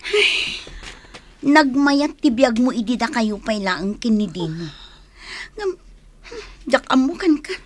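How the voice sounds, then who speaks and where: A woman's voice: fairly high pitch, lively intonation, slow, pauses often. Woman as a talkative young mother and friend in an Ilocano radio drama. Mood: sympathetic.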